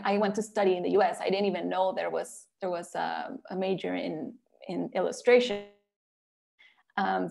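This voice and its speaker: A woman's voice: medium pitch, vocal fry, a little mellow. A woman from Mexico with a Mexican accent and Spanish as her first language, answering interview questions conversationally as an author and illustrator.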